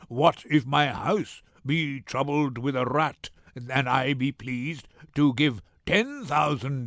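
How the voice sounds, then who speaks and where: A man acting a vengeful, defiant older moneylender in a deliberate, biting Shakespearean dramatic reading. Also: medium pitch, a bit bright, no room sound, clean studio sound.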